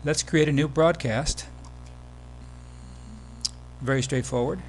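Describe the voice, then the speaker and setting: A man giving a casual, step-by-step software tutorial as the presenter of a screen demo. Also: fairly high pitch, takes it slowly, low-quality recording. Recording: background hum and noise.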